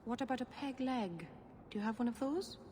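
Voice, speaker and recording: A woman's voice: fairly high pitch, lively intonation, a little fast, darkish tone, close to an everyday voice. A woman playing a sweet, naive young woman in a video game, eagerly curious and innocent in her manner. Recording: hall reverb, clear ambient noise.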